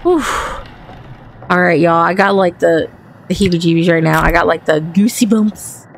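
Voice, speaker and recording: A woman with a Southern accent and a raspy voice chats to the ghosts while playing a horror game. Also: lowish voice, moderate pace, a little hiss or hum. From Texas. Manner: talks to the game and its ghosts, jumpy and chatty. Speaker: gaming channel host. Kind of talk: horror game let's play commentary.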